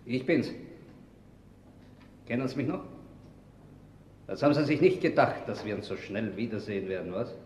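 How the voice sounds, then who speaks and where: An actor playing a pushy, sarcastic police detective in a crime radio play, with a Viennese accent and a hectoring manner. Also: male voice, medium pitch, animated, moderate pace, long pauses, darkish tone, a little hiss or hum, close to an everyday voice. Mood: wary, probing. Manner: hectoring, sardonic. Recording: echoey reverberant cold-room scene.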